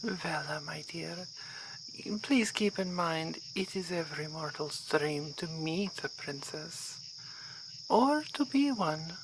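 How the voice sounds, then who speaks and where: Slow-talking, poised vampire baroness played by a woman. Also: sits low, animated, full character voice. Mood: indulgent. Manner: formal, measured, drawn-out theatrical phrasing. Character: poised, amused vampire baroness, coolly teasing and courtly. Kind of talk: ASMR roleplay acting. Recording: outdoor ambience with clear insect noise.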